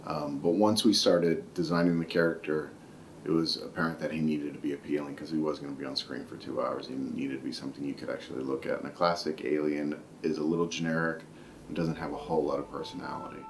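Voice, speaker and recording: A man from a film's effects team giving relaxed, thoughtful interview answers. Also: extremely low pitch, fast, slight background noise.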